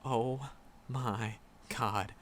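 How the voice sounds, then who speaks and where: A man's voice: medium pitch, fairly even intonation, noisy background. A man playing a shy, flustered magic professor in a roleplay audio drama, stammering and nervous as he talks to a secret crush. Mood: flustered, relieved. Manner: nervous, halting, stammering, rambling. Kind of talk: roleplay audio drama, intimate one-sided dialogue.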